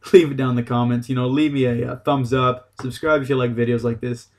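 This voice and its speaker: A man's voice: medium pitch, fairly even intonation, fairly quick. A man giving a casual, unscripted game tutorial, explaining things in a loose, hesitant way. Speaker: gaming tutorial host.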